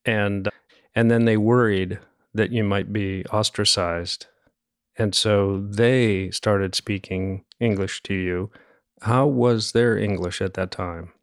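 A man's voice: fairly low pitch, steady tone, takes it slowly, no room sound, studio quality. A man hosting a podcast, introducing the guest and interviewing her in a friendly way. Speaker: host.

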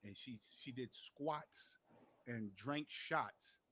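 A man's voice: mid-range voice, takes it slowly, sharp tone, breathy edge. A man in his late forties, joking and teasing in casual talk. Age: 40s. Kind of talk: casual banter on a podcast.

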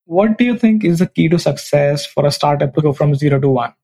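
Male podcast host, bright-ish higher voice, asks prepared questions. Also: lively intonation, a little fast. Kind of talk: interview questions.

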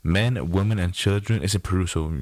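A man with a very low voice shoots the breeze on a podcast, slangy and laid-back, laughing easily as he spins a story. The sound is dry and close, with no room around it. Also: fairly quick, a little mellow, dry recording. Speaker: podcast co-host. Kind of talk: casual chat.

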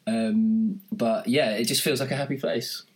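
A man's voice: mid-range voice, a little slow, rough recording. A man co-hosting a casual podcast, recounting a day out in a rambling way with dry humor. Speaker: podcast co-host. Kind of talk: casual chat.

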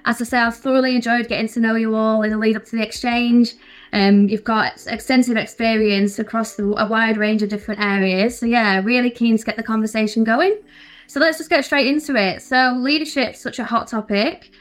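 A woman's voice: fairly high pitch, fairly even intonation, brisk, poor audio. A woman hosting a podcast panel, introducing the guests and moderating the talk in a friendly, upbeat way. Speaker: podcast host.

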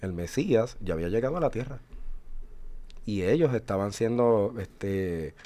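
Low-voiced man in his forties, unhurried. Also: lively intonation, warm tone. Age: 40s. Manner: thoughtful, hesitant with fillers, explains slowly. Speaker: radio show co-host. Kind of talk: casual religious radio chat.

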